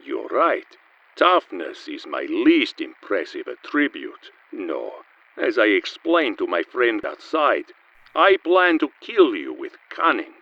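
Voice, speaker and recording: Husky, smug Russian assassin. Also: male voice, fairly low pitch, wildly swooping pitch, a bit bright, exaggerated voice. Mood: smug, menacing. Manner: pompous, deliberate. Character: smug, theatrical Soviet assassin who fancies himself an artist. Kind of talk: comic spy radio play. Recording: muffled recording with music behind.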